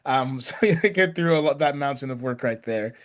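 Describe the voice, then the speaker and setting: Brisk, courteous male facilitator on a video call, mid-pitch, moving the meeting along. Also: expressive. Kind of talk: hosting an online workshop.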